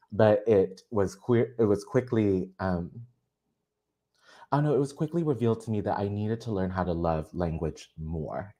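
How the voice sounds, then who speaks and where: A poet whose first language is Vietnamese, speaking earnestly about their craft in a lively conversation and laughing often. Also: low voice. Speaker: poet guest.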